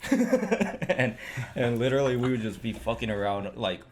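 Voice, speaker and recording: Bay area guy, lowish voice that swings around a lot, joking and cussing, noisy background. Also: very animated, unhurried. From San Francisco Bay Area. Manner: short replies, joking, swears freely. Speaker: guest, photographer and music producer. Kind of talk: casual chat.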